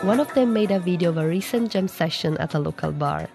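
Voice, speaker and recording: A woman's voice: fairly low pitch, animated, quick pace, vocal fry, loud music behind the voice. A woman reading scripted narration as a radio news reporter.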